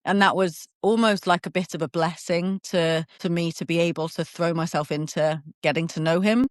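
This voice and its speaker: A woman in her thirties from England with a southern English accent, talking in an animated, open way as an interview guest, often laughing and restarting. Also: lowish voice, normal speed, dry recording, clean studio sound. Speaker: podcast guest. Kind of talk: conversational interview.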